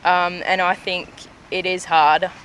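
A young woman starting out as an auctioneer, with a deep voice, talking eagerly and with determination. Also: level tone, slow, fairly bright tone, a little hiss or hum, poor audio. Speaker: trainee auctioneer.

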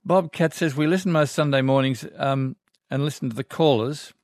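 A man's voice: mid-range voice, normal speed, a little mellow, no room sound. An older Australian man hosts a talkback radio show, chatting in an easygoing, folksy way as he reads out letters from listeners. His voice sits in the middle of the usual male range. It is recorded close and dry in a studio. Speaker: radio host. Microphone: studio microphone.

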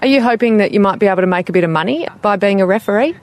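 Clear-cut interviewer asking questions. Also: mid-range voice, racing.

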